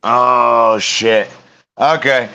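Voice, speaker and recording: A man hosting a sports podcast, running the show with profane, quick banter. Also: mid-range voice, fairly even intonation, pushed and bright, smooth, lo-fi sound. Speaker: podcast host. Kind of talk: rowdy sports chat.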